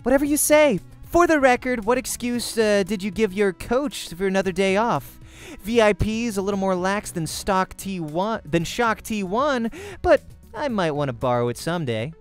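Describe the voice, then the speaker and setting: Man putting on a high-pitched bossy young woman. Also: expressive, moderate pace, smooth, soft background music. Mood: mocking. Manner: scolding, taunting. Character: sharp, bossy young woman pro gamer. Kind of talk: visual novel character voice.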